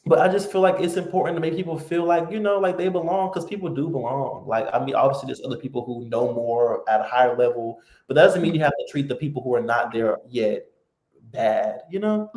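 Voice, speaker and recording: A man hosting a casual live-stream chat, relaxed and talkative with lots of fillers. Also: mid-range voice, a little fast, roomy sound, low-quality recording. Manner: relaxed, chatty, many fillers. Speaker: host.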